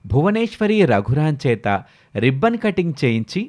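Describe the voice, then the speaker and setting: A man's voice: on the high side, big pitch swings, moderate pace, a little mellow. A man narrating a scripted village moral story.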